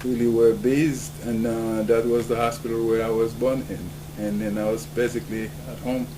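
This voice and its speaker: Man from Haiti, volunteer doctor, earnest and halting, over a clear background hum. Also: medium pitch. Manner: earnest, with frequent "uh" fillers. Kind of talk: recounting experience to camera. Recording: steady humming background noise.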